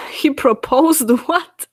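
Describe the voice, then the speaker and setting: Slow-talking Polish woman streamer, giggly. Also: sits high, no echo. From Poland. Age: 20s. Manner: reads out chat questions and answers, giggly, halting with fillers. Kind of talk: casual live stream chat.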